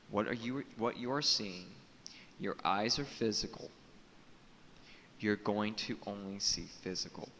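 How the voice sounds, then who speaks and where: Echoey, bright-voiced man in halting monologue. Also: lowish voice. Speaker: video blogger. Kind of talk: unscripted spiritual monologue to camera. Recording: echoey reverberant room with audible hiss.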